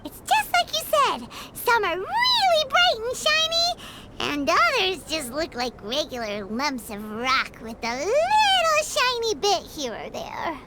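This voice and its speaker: Squeaky, excitable little fairy girl. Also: takes it slowly, very noisy, heavily acted. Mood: chatty, curious. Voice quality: squeaky, childlike. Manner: excitable, chatty, exclamatory. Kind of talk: video game voice acting.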